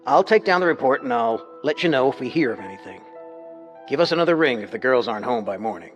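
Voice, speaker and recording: A man's voice: medium pitch, animated, fast, dull audio, soft background music, natural voice. A man playing a dismissive, matter-of-fact police officer placating someone in a radio drama.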